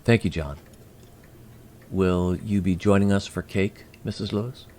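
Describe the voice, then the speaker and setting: A man playing a polite, curious alien emissary in an audio drama, with a gravelly voice and formal, measured, precise speech. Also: low voice, fairly even intonation, mellow, a little hiss or hum.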